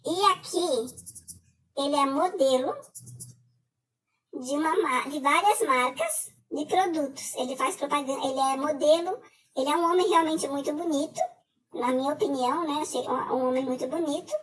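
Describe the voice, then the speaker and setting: A middle-aged Brazilian woman with a Brazilian Portuguese accent gives a personal testimony as a scam victim, talking haltingly with many filler hesitations. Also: high voice, a bit bright, distant mic, low-quality recording.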